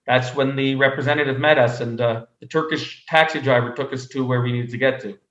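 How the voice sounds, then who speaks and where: A man from Canada speaking as an interview guest, telling personal stories conversationally in a halting way, often repeating words. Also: medium pitch, steady tone, fairly bright tone. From Canada (Montreal). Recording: roomy echo, slightly dull sound.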